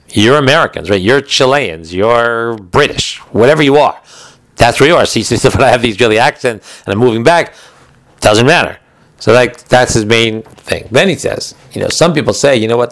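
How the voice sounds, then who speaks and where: A man's voice: lowish voice, dry recording. A man giving an informal religious law class, explaining texts in a digressive way, often rephrasing and posing questions to himself.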